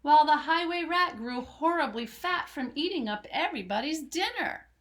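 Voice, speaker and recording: A woman's voice: high-pitched, expressive, normal speed, a bit bright. A woman reading aloud to young children as host of a story time, in a dramatic, singsong storytelling manner. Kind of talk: expressive picture-book read-aloud for young children.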